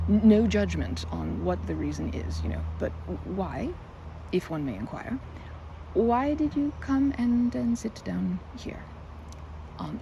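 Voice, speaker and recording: A shy, nervous young woman played by a female voice actor rambles through an ASMR roleplay with lively, swooping pitch and a creaky edge. She stammers, doubles back on her words and slips into oddly formal, wordy phrasing. Clear ambient noise sits behind her. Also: exaggerated voice. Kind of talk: ASMR roleplay, one-sided audio drama.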